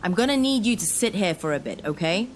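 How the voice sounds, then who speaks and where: A woman voicing a brisk, authoritative male police officer in a dramatic story. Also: lowish voice, animated, a little hiss or hum, natural voice. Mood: firm, grave. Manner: firm, authoritative. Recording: music behind the voice.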